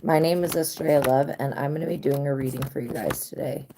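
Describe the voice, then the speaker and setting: A woman with an unusually deep, hoarse voice, rough from having lost it. She talks bluntly and swears freely in a loose, unscripted live-stream monologue, speaking close to a home mic. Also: fast, noisy background. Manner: blunt, profane venting. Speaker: tarot reader hosting a live stream.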